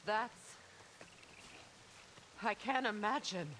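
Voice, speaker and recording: A woman playing a proud, sorrowful goddess warrior in a video game, speaking in earnest, measured, formal phrases. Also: mid-range voice, background noise. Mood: guarded, intent. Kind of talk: dramatic video game acting.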